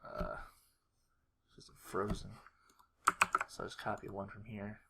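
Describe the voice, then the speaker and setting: A man giving a casual screen-recorded walkthrough, thinking aloud in a low-key, halting mutter. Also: very animated, vocal fry. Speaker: video creator. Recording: faint noise with keyboard clicks. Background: computer keyboard typing and mouse clicks.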